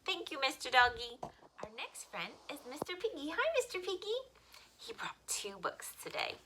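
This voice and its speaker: A woman reading picture books aloud to young children as a story time host, with expressive, simple phrasing. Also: high voice, moderate pace, noisy background.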